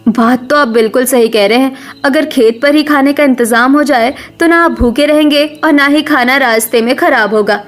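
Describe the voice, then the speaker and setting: A woman's voice: high voice, moderate pace, hardly any pauses, close to an everyday voice. A woman voicing a caring, eager young daughter-in-law in a cartoon village story. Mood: eager, helpful. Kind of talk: Hindi cartoon story dialogue.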